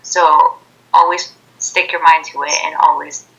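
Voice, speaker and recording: A young woman of twenty from Ecuador, with Spanish as her first language, gives informal interview answers. Her voice is low and bright, and it comes through a muffled internet call. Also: level tone, measured pace. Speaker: interview guest, college student. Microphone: Skype video call. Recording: dull, phone-like internet video call.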